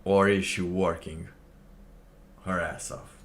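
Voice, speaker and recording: A man streaming alone, reacting to a clip with slow, musing casual commentary and laughing to himself. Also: sits low, little pitch movement, vocal fry.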